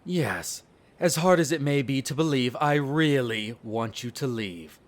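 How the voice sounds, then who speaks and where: A man's voice: mid-range voice, expressive, a little slow, lightly acted. A man playing an irritable aristocratic vampire in a roleplay audio drama, speaking formally with sneering condescension toward humans. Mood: irritated, dismissive. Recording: nature ambience with birdsong behind. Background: birdsong and garden ambience.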